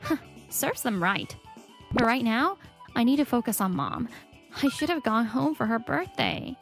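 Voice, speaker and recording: Voice actress as protective young mom telling her story, earnest, highish voice, soft music behind. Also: big pitch swings, a little slow, creaky, heavily acted. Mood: earnest narration. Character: protective, indignant young mother and wife, narrating. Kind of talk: scripted story narration, manga dub acting.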